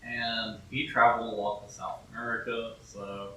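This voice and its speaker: A man's voice: fairly low pitch, little pitch movement, moderate pace, fairly bright tone, slight background noise. A man hosting an informal on-location video, introducing things and putting questions to a guest. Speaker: host and interviewer. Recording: very echoey, distant mic, faint music.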